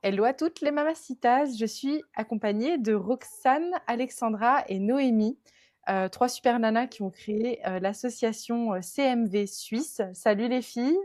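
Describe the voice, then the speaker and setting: A woman's voice: a little high, moderate pace, darkish tone, dry recording, clean studio sound. A woman hosting a podcast in casual chat, talking with many fillers and restarts. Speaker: podcast host.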